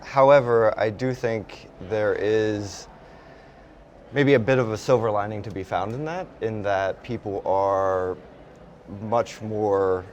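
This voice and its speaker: Slow-paced, lowish-voiced man on a panel. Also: a little mellow, lo-fi sound, slight background noise. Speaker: panel guest. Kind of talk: conversational panel discussion.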